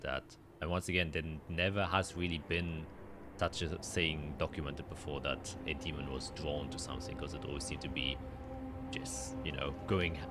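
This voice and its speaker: Very deep-voiced man running a tabletop game. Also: steady tone, normal speed, loud music behind the voice. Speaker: game master of a roleplaying show. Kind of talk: improvised tabletop game narration.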